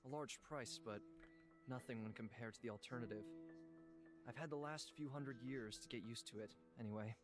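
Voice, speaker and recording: Quiet, gentle ageless shapeshifter played by a man. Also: mid-range voice, natural voice. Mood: calm, wistful. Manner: quiet, measured. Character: calm, gentle, centuries-old blind bird shapeshifter in human form. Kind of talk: visual novel character acting. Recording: music behind the voice.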